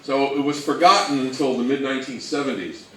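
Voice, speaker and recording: A man's voice: medium pitch, brisk, pushed and bright. Man, conversational presenter, explanatory, heavy room echo, faint noise. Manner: conversational, explanatory, poses rhetorical questions. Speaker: co-presenter and old-time radio researcher. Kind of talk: informal slide presentation talk. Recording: echoey room, distant mic, faint noise.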